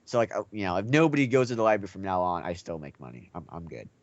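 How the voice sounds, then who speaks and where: A man's voice: low voice, very fast, no echo, highs cut off. A man co-hosting a podcast, chatting casually and telling anecdotes, acting out what people said. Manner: animated storyteller, acts out reported speech. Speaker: podcast co-host.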